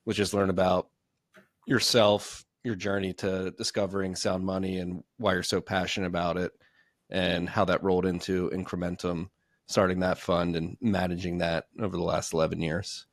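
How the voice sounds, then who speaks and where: A man hosting a podcast, introducing a guest in a relaxed, conversational way. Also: deep voice, moderate pace, no room sound, clean studio sound. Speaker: podcast host. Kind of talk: casual interview hosting.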